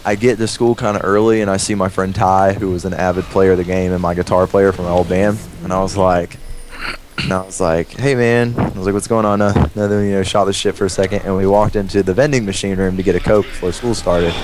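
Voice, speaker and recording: Young guy, lowish voice, swearing and rambling thru a story, noisy gamer mic. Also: quick pace. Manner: rambling, profane, animated retelling with quoted dialogue. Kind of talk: casual storytelling over gameplay.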